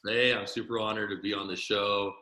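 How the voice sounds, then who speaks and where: A man's voice: fairly low pitch, flat delivery, brisk, sharp tone, echoey room. A man born in Taiwan, a podcast guest, telling his story earnestly in a casual interview and often repeating words as he starts sentences.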